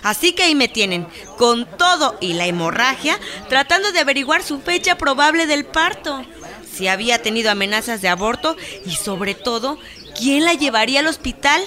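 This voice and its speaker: A woman's voice: sits high, animated, moderate pace, pushed and bright. An actress playing an anxious young pregnant countrywoman with a Mexican accent in a radio drama. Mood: alarmed, exasperated. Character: Esperanza, anxious pregnant countrywoman helping her cousin. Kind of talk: Spanish-language radio drama acting.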